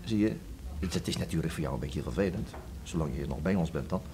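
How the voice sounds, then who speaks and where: A man's voice: very deep voice, fast talker. An actor playing an evasive middle-aged father who coughs and hesitates in a radio drama. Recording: noisy old radio play recording.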